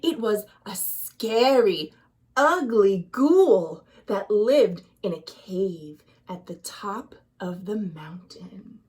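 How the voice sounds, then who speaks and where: A woman reading a children's story aloud in an expressive, dramatic way, as a storytime host. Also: mid-range voice. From United States. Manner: expressive, dramatic, deliberate delivery with hushed phrases. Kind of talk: animated picture-book storytelling for children.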